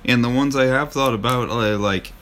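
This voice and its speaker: A man's voice: medium pitch, moderate pace, a bit bright. A man co-hosting a podcast in casual chat, with a sardonic, swearing, easily amused manner. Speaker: podcast co-host.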